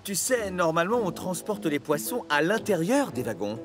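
Teasing, high-voiced cartoon engine. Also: male voice, very animated, normal speed, over music. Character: teasing male steam engine at the docks. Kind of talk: children's cartoon dub acting.

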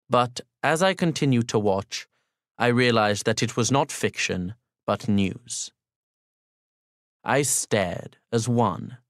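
A courteous young Pakistani man, played by a male audiobook reader, confides his story in a mid-pitched voice. He speaks formally and deliberately, pausing with care as if to a single listener. The sound is dry, with no room echo. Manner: formal, polite, measured, deliberate pauses. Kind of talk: first-person audiobook narration as dramatic monologue.

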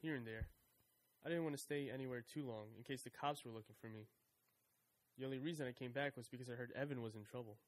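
A man's voice: medium pitch, fast, natural voice, low-quality recording. Guarded young fugitive , played by a man. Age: young adult. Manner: guarded, sullen. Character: wary young man on the run.

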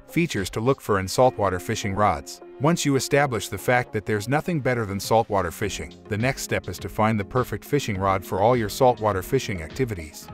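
A man narrating product reviews as a scripted voice-over, with an even, list-reading delivery and stumbles over brand names. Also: fairly low pitch.

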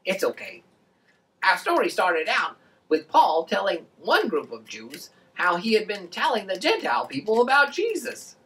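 A woman voicing an excitable, friendly boy puppet, explaining things in an animated way for a children's show. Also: mid-range voice, moderate pace, recorded from a distance. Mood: earnest.

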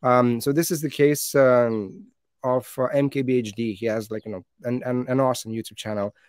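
A man in his thirties, a native Lithuanian speaker, gives a conference talk over slides, halting with many fillers and restarts. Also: mid-range voice, steady tone, clear, resonant tone, dry recording, clean studio sound.

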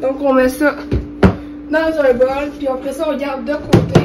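A woman's voice: high-pitched, fairly even intonation, unhurried, over loud music, rough recording. A young woman with a Quebec French accent and a congested, cold-affected voice, giving an informal, hesitant cooking tutorial to camera. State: has a cold. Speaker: vlogger. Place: home kitchen. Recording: clear background noise.

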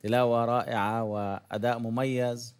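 A man's voice: mid-range voice, little pitch movement, moderate pace, darkish tone. A man judging a recitation contest, giving friendly, detailed feedback and demonstrating sounds. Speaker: competition judge. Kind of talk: spoken critique.

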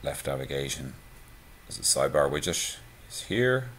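A man giving a relaxed, conversational screencast walkthrough of a website theme, with frequent "uh" and "um" fillers. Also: a bass voice, normal speed, a little hiss or hum. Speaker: theme tutorial presenter.

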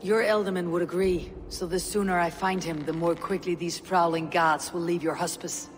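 A woman playing a stern, battle-hardened Viking warrior in a video game, blunt, measured and commanding. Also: on the low side, steady tone, slight background noise, lightly acted. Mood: steady, reassuring. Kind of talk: video game cutscene acting. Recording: reverberant hall sound under music.

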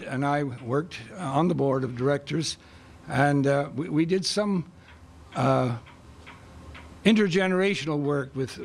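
An older man from Canada speaking at a community meeting with a loud voice, pausing often with fillers and restarts. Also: a little high, vocal fry. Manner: hesitant, with many fillers and restarts. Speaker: guest speaker for seniors. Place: high school music room, small stage. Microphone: stand mic close in front.

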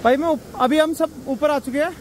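Chatty travel vlogger, a man with a high voice, recorded outdoors over loud background noise. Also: brisk. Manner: chatty, joking, calls viewers brother. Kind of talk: casual vlog narration. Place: outdoors by a waterfall.

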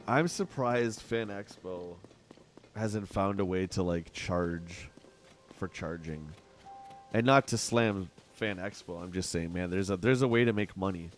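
Low-voiced Canadian man streaming a game. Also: unhurried, soft background music. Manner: rambling, chatty, answers chat. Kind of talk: casual live-stream chat while gaming. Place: home streaming room.

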